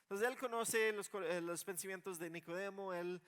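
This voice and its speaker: A man preaching an expository sermon in plain conversational words, moving between hushed reflection and insistent emphasis, with halting restarts. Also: high-pitched.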